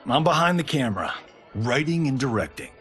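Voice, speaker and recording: An actor playing a cocky, upbeat Hollywood action star turned director in a video game, breezy, slangy and wisecracking. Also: male voice, medium pitch, very animated, brisk, fairly bright tone, soft background music. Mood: breezy, playful. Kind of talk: cinematic game cutscene acting.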